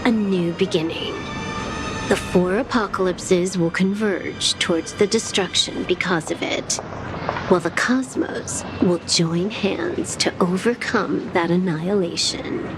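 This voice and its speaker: A woman voicing a calm, enigmatic woman in a game cutscene, speaking in a measured, composed way. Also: on the low side, close to an everyday voice. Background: game soundtrack music.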